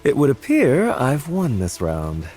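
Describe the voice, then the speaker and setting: A man's voice: medium pitch, wildly swooping pitch, measured pace, darkish tone, faint music behind. A man voicing a smug, playful trickster rival in a game, with a theatrical manner. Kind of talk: English game voice acting.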